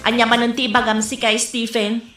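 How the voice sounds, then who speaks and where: A woman's voice: on the high side, flat delivery, a little fast, fairly bright tone, soft background music, lightly acted. A woman playing a lazy, shameless elder sister and mother with a whiny, brazen manner in a comedy audio drama. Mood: irritated. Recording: echoey, roomy sound.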